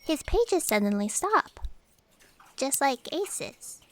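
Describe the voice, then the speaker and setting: A woman's voice: high voice, big pitch swings, takes it slowly, fairly bright tone, dry recording, heavily acted. Bookish fussy assistant Fran, played by a woman. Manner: precise, bookish, muttering. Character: fussy female records assistant named Fran.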